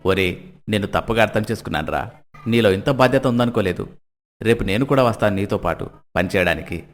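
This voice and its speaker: A man voicing an older village father, stern but caring, with a concerned paternal manner in an animated moral story. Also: on the low side, a little fast, pitched below the performer's own voice, close to an everyday voice. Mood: remorseful, proud.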